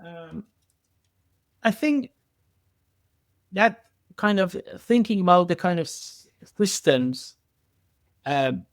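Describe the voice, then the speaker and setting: A man speaking as an expert podcast guest in a conversational way, haltingly, often repeating words and circling through filler phrases. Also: high-pitched, animated, dry recording, clean studio sound. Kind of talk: conversational expert interview answers.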